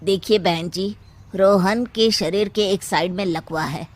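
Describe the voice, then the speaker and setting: A woman's voice: medium pitch, unhurried, lightly acted. A woman voicing a grave, matter-of-fact village doctor in a cartoon story.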